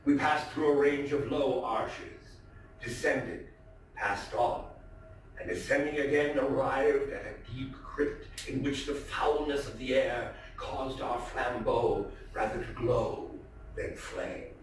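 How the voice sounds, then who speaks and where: A man's voice: mid-range voice, fairly even intonation. Guy reading gothic tale dramatically, really echoey, mic kinda far off. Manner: theatrical, deliberate. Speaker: stage storyteller. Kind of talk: dramatic gothic story narration. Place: live theatre performance. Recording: very echoey room, distant mic, faint noise.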